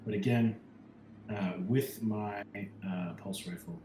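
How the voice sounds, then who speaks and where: A man's voice: lowish voice, takes it slowly, background noise. A man playing a tabletop roleplaying game, casually speaking as his character and thinking aloud hesitantly. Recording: roomy echo, music underneath.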